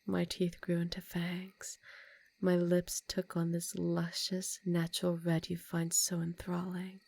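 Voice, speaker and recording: A woman's voice: on the low side, completely flat delivery, a little slow, gravelly, darkish tone. A woman voicing a seductive, commanding vampire countess in an intimate ASMR roleplay, teasing and often dropping into whispers. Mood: wistful, sinister.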